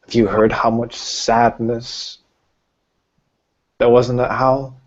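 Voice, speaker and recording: A man playing a grave, concerned female werewolf elder in a tabletop roleplaying game, speaking haltingly and earnestly as blunt counsel is given. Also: medium pitch, little pitch movement, highs cut off, lightly acted. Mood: sorrowful, pressing.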